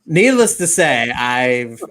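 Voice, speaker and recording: A man's voice: mid-range voice, very animated, takes it slowly, a bit bright. Man in his thirties, rambling co-host. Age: 30s. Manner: rambling storyteller, self-deprecating. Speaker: podcast co-host. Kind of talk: casual podcast chat.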